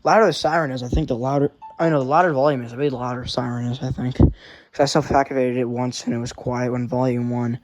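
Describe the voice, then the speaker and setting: A man's voice: mid-range voice, steady tone, fast, a little mellow, slight background noise. A teenage boy casually showing his weather radio collection at home, rambling and often correcting himself. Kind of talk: casual show-and-tell.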